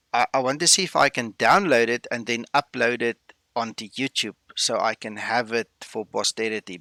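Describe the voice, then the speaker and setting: A man's voice: mid-range voice, gravelly, sharp tone, no echo. A man from South Africa with an Afrikaans-rooted South African accent, chatting casually with viewers while streaming a flight sim, full of fillers and greetings. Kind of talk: casual live-stream chat.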